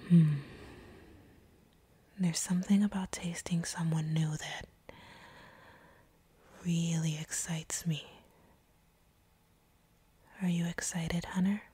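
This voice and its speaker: A woman's voice: lowish voice, steady tone, slow pace, long pauses, dark tone, husky, dry recording, exaggerated voice. A woman voicing a seductive, sadistic vampire in intimate roleplay audio, whispering breathily in a teasing, commanding way. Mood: teasing menace. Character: seductive, sadistic vampire woman taunting her captive hunter.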